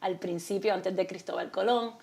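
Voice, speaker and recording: A Puerto Rican woman, a Spanish teacher in her fifties or sixties, talking in an animated, teacherly way as a podcast guest. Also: mid-range voice, fairly quick, vocal fry. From Puerto Rico. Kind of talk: casual podcast interview.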